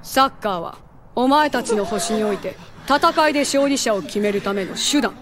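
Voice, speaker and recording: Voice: mid-range voice, animated, normal speed, a crowd in the background, lightly acted. An actor playing a haughty, menacing young alien conqueror who makes formal, pompous proclamations in an anime game. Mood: cold, commanding. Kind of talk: dramatic anime game voice acting.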